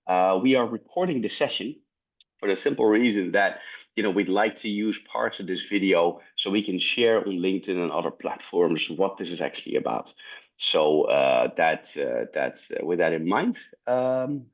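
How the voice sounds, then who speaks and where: A man's voice: deep voice, moderate pace. A man hosting a webinar opening, speaking hesitantly with many fillers. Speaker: local host. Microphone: video call. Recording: dull lo-fi call audio.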